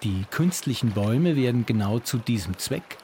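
A man's voice: mid-range voice, animated, normal speed, darkish tone, slight background noise. A man reading a scripted radio feature as an arts reporter.

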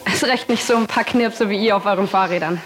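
A woman's voice: medium pitch, a little fast, lightly acted, low-quality recording. Stern, ominous petrol station keeper, woman, dismissive, audio-play style, faint music behind. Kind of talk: vintage children's audio play.

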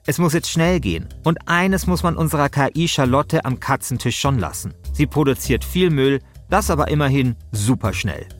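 A man's voice: mid-range voice, animated, normal speed, hardly any pauses, soft background music. A man hosting and narrating a podcast from a conversational script with a wry, ironic manner.

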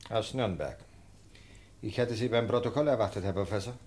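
A man's voice: lowish voice, darkish tone, slight background noise, close to an everyday voice. Sceptical, officious lieutenant played by a man. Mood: cool, sarcastic. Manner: brisk, dismissive, sarcastic. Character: sceptical, officious male police lieutenant, coolly condescending. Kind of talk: radio drama acting.

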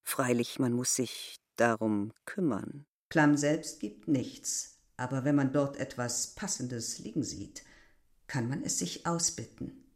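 A proud, wistful, middle-aged village innkeeper. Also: female voice, very deep voice, takes it slowly, full character voice. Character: proud, wistful village innkeeper woman, devoted to past lover. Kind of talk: literary radio drama acting.

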